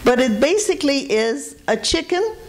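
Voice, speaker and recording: A woman's voice: high-pitched, sing-song, moderate pace. An older woman from Shanghai giving an informal talk of reminiscences to an audience, telling anecdotes and repeating words for emphasis. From Shanghai, China. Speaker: guest speaker at a public food history talk.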